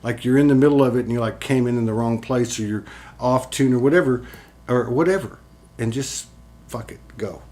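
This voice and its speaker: A man's voice: medium pitch, a little fast, a little mellow. A man hosting a casual spiritual chat, talking in a rambling, earnest way with many "like"s and some casual swearing. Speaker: host. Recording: guitar playing behind.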